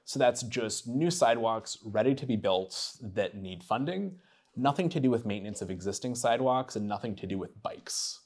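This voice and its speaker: A man's voice: medium pitch, animated, moderate pace, darkish tone. A man giving a talk, laying out budget numbers in a matter-of-fact way. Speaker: speaker on transport funding. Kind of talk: presentation talk.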